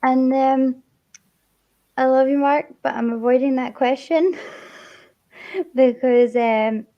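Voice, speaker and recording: A woman's voice: sits high, steady tone, takes it slowly, muffled recording. A Scottish woman hosting a casual stream, giggly and stumbling over her words, with a swollen cheek that makes her speech a little slurred. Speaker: streamer host.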